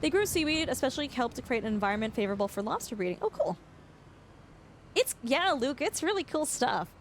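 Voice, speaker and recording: A young woman streaming a farming game, chatting casually and explaining facts with lots of fillers, her voice slightly hoarse. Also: high-pitched, very animated, fairly quick, a little hiss or hum. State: voice going hoarse. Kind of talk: casual live-stream chat.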